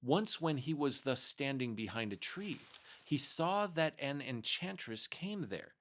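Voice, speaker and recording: A man narrating a fairy tale aloud as a storyteller, expressive and measured in his delivery. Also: mid-range voice, slight background noise.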